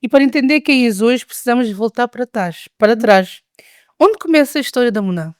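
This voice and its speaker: A woman hosting a podcast, asking questions in a gentle, respectful way. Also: a little high, expressive, brisk, clear, resonant tone, no room sound, studio quality. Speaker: podcast host. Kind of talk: interview podcast.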